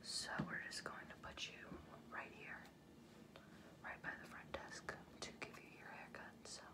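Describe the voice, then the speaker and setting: A teenage boy speaks in a soft whisper right up at a desk microphone. He plays an attentive hairdresser in an ASMR roleplay. His delivery is gentle and a little hesitant. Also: normal speed. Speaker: ASMR creator playing a hairdresser. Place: small bedroom, indoors. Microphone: close desk microphone with pop filter.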